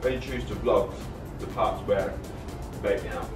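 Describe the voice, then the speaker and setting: A young man trades dry, teasing banter from across an echoey room, well away from the mic. Music runs clearly behind him. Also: fairly low pitch, moderate pace, recorded from a distance. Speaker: vlogger's partner.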